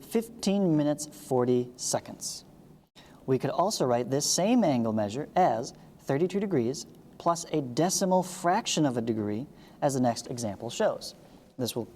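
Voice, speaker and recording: A woman's voice: extremely low pitch, warm tone, in a large hall, slight background noise. A woman reading a lesson aloud as a teacher, explaining step by step with short asides. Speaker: math teacher, video host.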